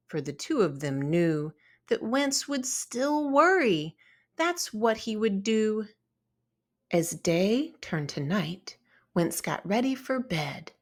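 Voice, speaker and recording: A woman reading a children's story aloud in an expressive, deliberate narrating style. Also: fairly high pitch. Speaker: storybook reader.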